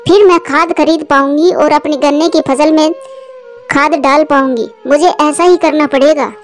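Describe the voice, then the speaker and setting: Woman voicing an earnest high-pitched cartoon bird. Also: fairly even intonation, moderate pace, lightly acted. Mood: determined. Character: earnest, hard-working female bird prone to fretting. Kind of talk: Hindi cartoon story voice acting.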